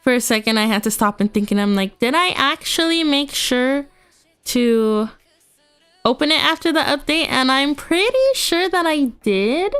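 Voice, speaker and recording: A woman's voice: high-pitched, lively intonation, moderate pace, fairly bright tone, smooth. A young woman with a congested voice, chatting casually and rambling with lots of fillers as a livestream host. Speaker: VTuber streamer. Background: faint music.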